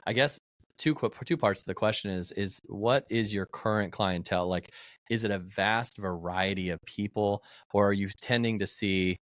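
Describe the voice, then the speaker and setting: A man with a low voice co-hosting a laid-back interview. He winds through his questions with many fillers and restarts, and the recording is dry and close. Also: dry recording. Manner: rambling, halting questions full of fillers. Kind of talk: casual interview chat.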